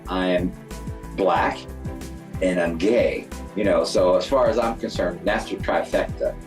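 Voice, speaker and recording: Very deep older man, echoey and distant. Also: fairly even intonation, unhurried. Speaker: retired social worker, award honoree. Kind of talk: reflective personal interview. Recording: roomy echo, distant mic. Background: background music.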